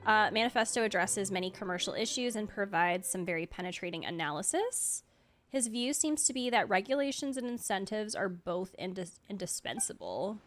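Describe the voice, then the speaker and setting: A woman's voice: mid-range voice, animated, a little fast, fairly bright tone. A woman streaming a video game with casual, playful, wry commentary. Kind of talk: casual livestream gameplay commentary. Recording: faint game music behind the voice.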